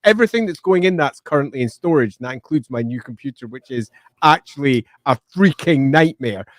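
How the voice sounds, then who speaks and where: A man's voice: medium pitch, animated, brisk, dry recording. A middle-aged man co-hosting a casual live stream, playful and theatrical, chatting and laughing easily. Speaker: co-host.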